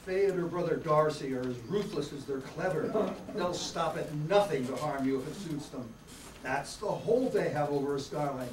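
A man's voice: a little high, normal speed, crowd noise, natural voice. A man playing an earnest, worried lawyer in love in an old-time radio soap opera. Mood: warning. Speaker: amateur performer in a radio play reenactment. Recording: echoey room, far from mic, hiss.

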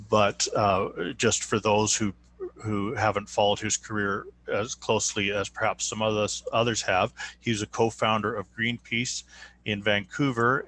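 A man's voice: lowish voice, fairly bright tone, poor audio. A Canadian man hosting an online discussion, introducing his guest with many fillers and restarts over a video call. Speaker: host.

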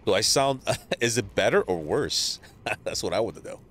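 A man streaming a game live, chatting casually with viewers and greeting them by name, sounding a little stuffy from allergies, on a boom mic. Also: normal speed, a little hiss or hum. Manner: greets chat viewers, relaxed banter. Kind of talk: casual live gameplay chat.

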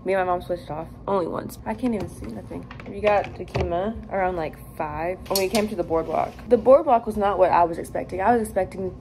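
A woman's voice: mid-range voice, fairly quick, few pauses. A young American woman chatting casually and playfully in slang, as a vlogger getting ready in a hotel room. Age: young adult. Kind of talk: casual get-ready-with-me vlog chat. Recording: noisy room ambience.

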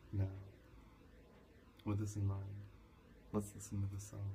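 A young man playing a calm college professor in a soft-spoken ASMR lecture roleplay, measured and didactic. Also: on the low side, crowd noise. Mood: calm, instructive. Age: young adult. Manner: measured, didactic, with rhetorical questions. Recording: clearly noisy, hissy home recording.

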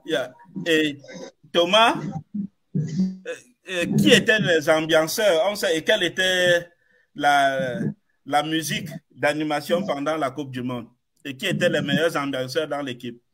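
A man hosting a live interview over a headset, asking long, lively questions. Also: on the high side, a little slow. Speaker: host.

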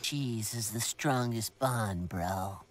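A man's voice: lowish voice, slow pace, a little hiss or hum. A man voicing a goofy, shape-shifting green teen boy with a slangy, hyper and silly way of talking in a cartoon. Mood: solemn. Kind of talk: cartoon voice acting.

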